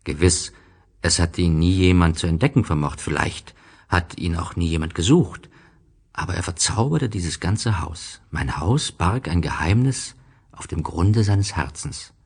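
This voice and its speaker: Male literary narrator: low register, very slow pacing, reflective and measured scripted reading. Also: a little mellow, husky.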